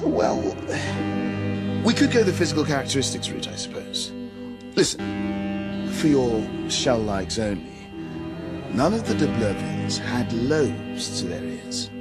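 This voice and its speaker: A man's voice: mid-range voice, sing-song, a little slow, pauses often, loud music behind the voice. A man with a British accent acting in a radio drama as a wry, breezy, knowing heraldry expert who talks in an arch, chatty way. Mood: conspiratorial.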